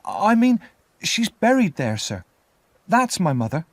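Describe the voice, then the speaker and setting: A man's voice: high voice, sing-song, moderate pace, dry recording, voice pushed up. A man voicing a frightened, polite little orphan boy in a storybook, timid and pleading. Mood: nervous, polite.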